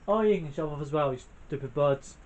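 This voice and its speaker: Man gaming, lively voice, dull lo-fi mic. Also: a little high, a little fast. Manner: exclaiming, frustrated muttering. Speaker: gamer. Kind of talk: casual game commentary.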